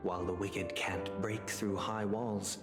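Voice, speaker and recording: A man's voice: low voice, level tone. Coldly courteous nobleman in cavernous reverb. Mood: composed, resolute. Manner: formal, measured, pointedly polite. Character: refined, guarded young male family head, coldly courteous. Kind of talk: scripted video game acting. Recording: long hall reverb with music behind. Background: game music.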